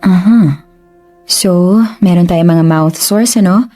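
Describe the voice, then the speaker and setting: A low, mellow female voice plays as a woman plays a composed doctor questioning a patient. She is polite and reassuring, switching easily between English and Tagalog. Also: slow, soft background music. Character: calm, professional female doctor.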